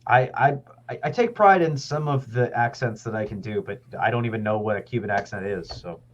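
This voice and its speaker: A man's voice: lowish voice, brisk, a little hiss or hum. A man co-hosting a podcast in casual chat, joking and swearing. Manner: animated, joking, profane. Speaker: podcast co-host. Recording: dull lo-fi sound.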